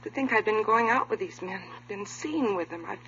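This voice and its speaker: Lady playing a polite nervous young office girl, hesitant, medium pitch, dull vintage radio audio. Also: animated, normal speed, a bit bright, slight background noise, close to an everyday voice. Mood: earnest, frightened. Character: polite, uneasy young female office worker under questioning. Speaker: supporting actor. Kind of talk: 1950s radio drama acting. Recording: muffled, humming vintage radio recording.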